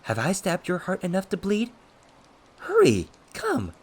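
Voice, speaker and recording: A man's voice: low voice, big pitch swings, a little slow, full character voice. A man voicing a gleefully unhinged, cocky male ghost who mocks and taunts in an audiobook story. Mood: gleeful provocation. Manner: cocky, mocking, manic, shouting and swooping.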